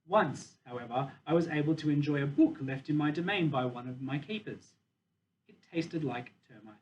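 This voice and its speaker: A man voicing a proud, wistful, dignified captive silverback gorilla in a measured, deliberate storybook reading. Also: on the high side, close to an everyday voice. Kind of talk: children's novel read aloud in first person. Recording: dull, distant mic with faint noise.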